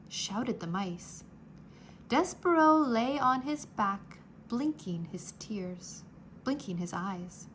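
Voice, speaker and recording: A woman reading a children's storybook aloud, slow and expressive, dropping to a whisper at quiet moments. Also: mid-range voice, a little hiss or hum, low-quality recording. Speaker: storybook reader. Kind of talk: children's read-aloud narration.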